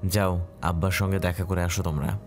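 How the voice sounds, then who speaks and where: Male actor as dutiful eldest brother in an audio drama, low bass voice, composed and explanatory, music behind. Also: little pitch movement, fairly quick, darkish tone, close to an everyday voice. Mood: calm, earnest. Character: calm, dutiful head-of-family elder brother explaining his marriage. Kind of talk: Bengali audio story acting. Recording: music clearly playing behind.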